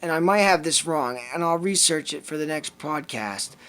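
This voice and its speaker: A man's voice: fairly high pitch, moderate pace, smooth. A man with a Lithuanian accent, co-hosting a casual podcast chat and reading passages from a book aloud. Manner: reads passages aloud in a steady list-like way. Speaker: podcast co-host.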